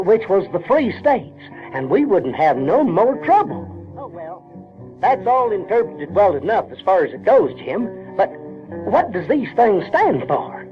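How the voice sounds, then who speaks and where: Country boy narrator, Southern US accent, highish animated voice, halting and homespun, vintage radio drama sound with music. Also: dull audio. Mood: coaxing, casual. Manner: halting, repeats words, rustic grammar. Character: Huckleberry Finn, uneducated, conscience-troubled Southern boy narrating. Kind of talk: folksy first-person audio drama narration.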